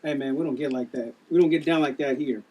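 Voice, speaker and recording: A man's voice: mid-range voice, fast talker, darkish tone, lightly acted. A man playing a protective, flirtatious gym trainer in a table read, with casual slangy speech. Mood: stern, confrontational. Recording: noisy, distant room recording.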